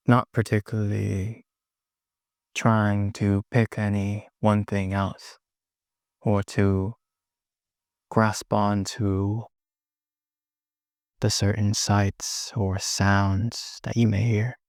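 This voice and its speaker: A man guides a meditation in a low voice with plenty of gravelly fry. His delivery is very slow and soothing, broken into short phrases with long pauses between them. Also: little pitch movement, a little mellow, no room sound, clean studio sound.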